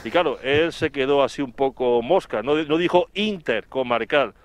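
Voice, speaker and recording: Male TV reporter, middle-aged, Spain accent, smooth clear voice, halting and wordy live delivery. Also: mid-range voice, no room sound. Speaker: television reporter. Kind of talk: live report, spoken recollection. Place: outdoors in front of an office building. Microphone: handheld microphone, through a face mask.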